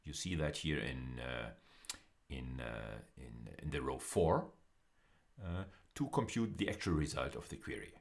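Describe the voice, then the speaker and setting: Very deep-voiced male lecturer. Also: animated, a little slow, creaky. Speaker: university lecturer. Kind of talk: video lecture, explanatory.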